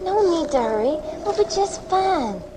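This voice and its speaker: A woman's voice: extremely high pitch, sing-song, measured pace, full character voice. An actress playing a kindly, reassuring neighbour minding a boy in a 1980s radio drama, taped off the air. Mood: coaxing, reassuring. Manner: coaxing, reassuring. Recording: noisy amateur off-air radio tape.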